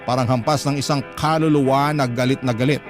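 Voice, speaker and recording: A man's voice: medium pitch, fairly even intonation, a little fast. A man with a Filipino accent giving dramatic, scripted first-person narration for a radio drama. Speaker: radio drama narrator reading a letter sender's story. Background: dramatic background music.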